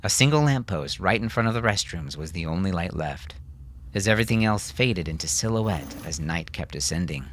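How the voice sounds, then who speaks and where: A man's voice: deep voice, animated, a little fast, slight background noise. A man narrating a scripted story as an audiobook narrator.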